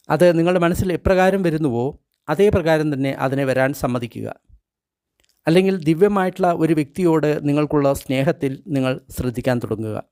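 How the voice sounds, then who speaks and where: Higher-voiced man guiding a meditation. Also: a little mellow, smooth, dry recording, studio quality. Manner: measured, instructional, short phrases with pauses. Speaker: meditation guide. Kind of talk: guided meditation, read from a translated script.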